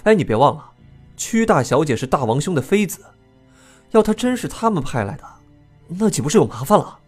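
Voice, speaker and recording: Casting: frank, indignant youngest prince for audio drama, animated and expressive delivery. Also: male voice, a little high, brisk, breathy edge, soft background music. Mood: puzzled, earnest.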